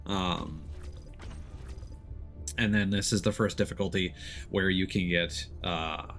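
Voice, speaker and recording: A man's voice: lowish voice, fairly bright tone. A man livestreaming a game, casually explaining how it works in a hesitant, thinking-aloud way. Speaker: video game streamer. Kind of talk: casual gameplay commentary, explaining mechanics.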